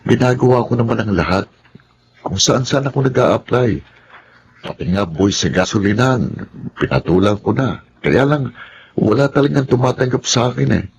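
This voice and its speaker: A man's voice: medium pitch, lively intonation, normal speed, vocal fry, pauses often, a little hiss or hum. An actor playing a struggling, apologetic husband and father in a Filipino radio drama, speaking in a weary way. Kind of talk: Filipino radio drama acting with first-person narration.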